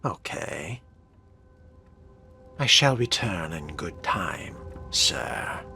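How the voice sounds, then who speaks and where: A man role-playing his vampire character through a video game, speaking in a measured, theatrical, brooding manner. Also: low-pitched, expressive, bright, forceful tone, soft background music.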